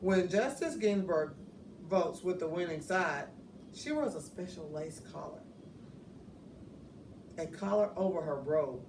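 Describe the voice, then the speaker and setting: Lady reading a kids book out loud, expressive and deliberate, echoey room, bit far from the mic. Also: lowish voice. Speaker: picture book reader. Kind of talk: children's read-aloud narration. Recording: roomy, distant mic, faint noise.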